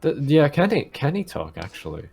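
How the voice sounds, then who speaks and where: A man's voice: on the high side, huge pitch swings, normal speed, gravelly, darkish tone, breathy edge. A man chatting casually as a tabletop game player, hesitant and stammering, often repeating himself.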